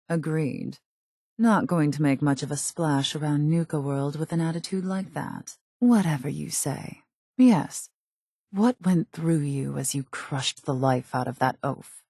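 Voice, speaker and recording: A calculating female gang leader from a post-apocalyptic game. Her voice is low, mellow and a little husky, recorded very dry. She talks with composed, haughty precision and a dry menace. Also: no echo, heavily acted. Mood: cool, scheming. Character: cool, calculating female raider gang boss. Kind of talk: video game voice acting.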